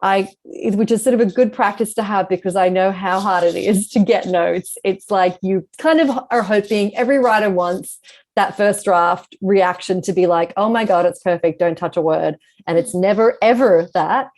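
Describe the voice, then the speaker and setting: Woman, guest author, conversational interview style, reflective, explanatory, medium pitch. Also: normal speed, darkish tone. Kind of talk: casual interview chat.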